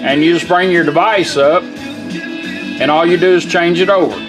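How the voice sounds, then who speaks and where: Male American product demonstrator, slightly high voice, folksy and plainspoken, guitar music playing behind. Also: normal speed. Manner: plainspoken, folksy step-by-step instruction. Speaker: product demonstrator for a radio restoration shop. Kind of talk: hands-on product demonstration, casual. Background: guitar music from a radio.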